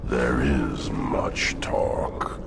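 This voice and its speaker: Giant ancient parasite monster for a game, super deep, crawling slow, solemn n menacing. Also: male voice, noisy background, full character voice. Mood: ominous, ponderous. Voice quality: very deep, gravelly, rumbling. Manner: slow, solemn, riddling oratory. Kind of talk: video game cutscene acting. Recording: loud music behind the voice.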